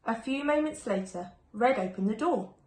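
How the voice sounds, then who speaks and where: A woman's voice: a little high, lively intonation, moderate pace, darkish tone. A woman reading a rhyming children's storybook aloud expressively, like a primary school teacher. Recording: echoey room, faint background noise.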